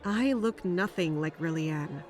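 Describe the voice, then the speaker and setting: A woman's voice: sits low, a little slow, darkish tone, faint music behind. A woman playing a stern, vigilant young guard in an audio drama, serious and matter-of-fact. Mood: curt. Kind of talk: audio drama narration and dialogue.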